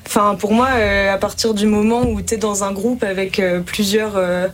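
A woman's voice: medium pitch, flat delivery, normal speed. A woman from France taking part in a talk show discussion, earnest and rephrasing her thoughts as she goes. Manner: earnest, thinks aloud and rephrases. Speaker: panel participant.

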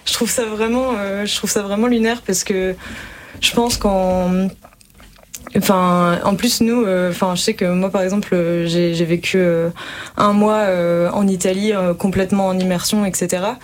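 A woman's voice: medium pitch, fairly even intonation, moderate pace. A woman from France taking part in a talk show discussion, earnest and rephrasing her thoughts as she goes. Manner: earnest, thinks aloud and rephrases. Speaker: panel participant.

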